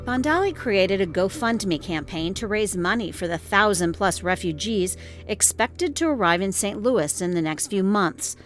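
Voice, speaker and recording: A woman's voice: mid-range voice, expressive, normal speed, few pauses. A woman with an American accent reads scripted narration as a news reporter. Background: music.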